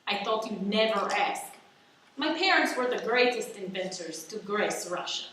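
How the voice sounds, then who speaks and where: A woman's voice: medium pitch, animated, normal speed, fairly bright tone, natural voice. Woman acting a pompous villainess in an echoey room. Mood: bossy, gloating. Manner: pompous, monologuing. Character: gloating female spy villain with a gun, self-important. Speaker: actor. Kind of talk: stage-style radio play acting. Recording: echoey room, distant mic, faint noise.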